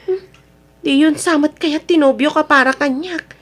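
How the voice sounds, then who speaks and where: A woman's voice: sits high, normal speed, lightly acted. A woman acting in an Ilocano radio drama as a lovestruck, stubborn adult daughter and single mother, with an Ilocano accent. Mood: pleading, earnest.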